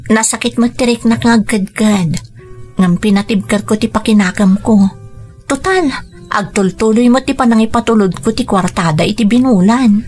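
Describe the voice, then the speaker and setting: A woman's voice: on the high side, normal speed, a little mellow, soft background music, natural voice. A woman playing a weary, dutiful younger sister working abroad in a radio drama, earnest and plaintive, with an Ilocano accent. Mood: sad, resolved.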